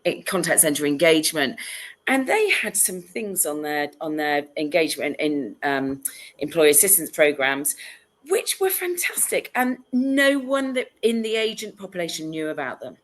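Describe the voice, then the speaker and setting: A woman with a cold, sniffling as she talks, answers questions as a consultant on a webinar panel over a video call. Her voice is very low. She speaks in an animated, chatty way and pokes fun at herself. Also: moderate pace. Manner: animated, chatty, self-deprecating.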